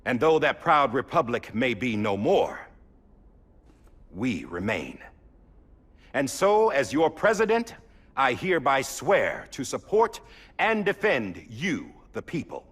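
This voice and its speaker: An actor playing a solemn president delivering a formal, grave, deliberate oath speech in a video game. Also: male voice, mid-range voice, wildly swooping pitch, fairly bright tone, husky, heavily acted. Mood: resolute, grave. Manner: grave, stately, deliberate with long pauses. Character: solemn new president giving an inaugural oath.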